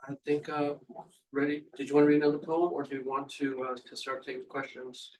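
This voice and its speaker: Male host at a book event, flat delivery, distant mic. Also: mid-range voice, fairly quick.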